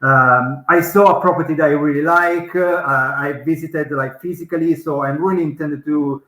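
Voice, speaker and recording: A man hosting a live-stream Q&A over a video call, animated and posing hypothetical examples. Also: a little high, moderate pace. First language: Italian. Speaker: host. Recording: roomy echoey video call.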